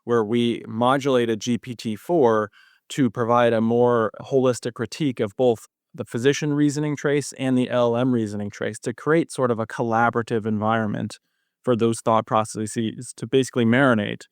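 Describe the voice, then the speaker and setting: A man's voice: mid-range voice, fairly even intonation, moderate pace, clean tone, no echo, studio quality. A man speaking as an expert guest in an interview, explaining things conversationally with technical jargon. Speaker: guest physician researcher.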